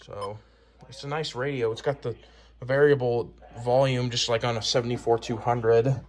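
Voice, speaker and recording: A man's voice: mid-range voice, fairly even intonation, moderate pace. A man giving a casual, unscripted show-and-tell as a hobbyist collector, in a hesitant, rambling, plain-spoken way. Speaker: hobbyist collector presenting weather radios.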